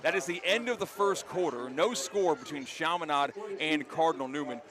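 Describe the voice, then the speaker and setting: High-pitched American man calling football. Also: normal speed. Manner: excitable on big plays, shouting calls. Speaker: play-by-play announcer. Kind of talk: live high school football radio commentary.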